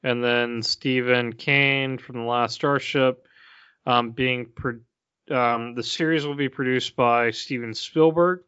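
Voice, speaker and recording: A man with a bright, clean voice talks casually as a podcast co-host. He is measured and a little hesitant, working out his thoughts as he goes. The sound is dry and close, if slightly dull. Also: mid-range voice, fairly even intonation, smooth, no room sound. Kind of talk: casual chat about video games and movies.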